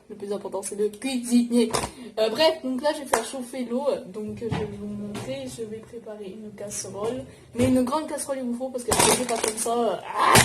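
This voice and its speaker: A woman's voice: a little high, a little mellow. A woman chatting informally in a slangy, scattered way for a home cooking vlog, often interrupting herself. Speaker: vlogger. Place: home kitchen in an apartment. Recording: noisy home recording, clattering pots and dishes.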